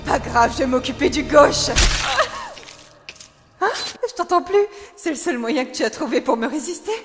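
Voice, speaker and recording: A woman's voice: high-pitched, animated, moderate pace. A woman voicing a cruel, vengeful, unhinged teenage girl villain in a French anime fandub, taunting and theatrically menacing. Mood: mocking. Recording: reverberant hall sound, clear music behind.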